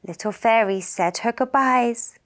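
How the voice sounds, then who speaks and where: A woman telling a children's puppet story in a gentle, deliberate and soothing manner. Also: a little high. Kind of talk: slow narrated children's story.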